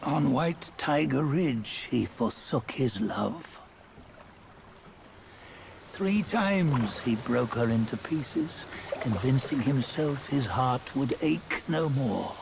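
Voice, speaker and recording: An actor playing a grave, judgmental guide in a fantasy game, speaking in solemn, archaic phrases with a cryptic, mocking edge. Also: male voice, medium pitch, lively intonation, slow, gravelly, background noise. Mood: grave, knowing. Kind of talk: fantasy game cutscene acting.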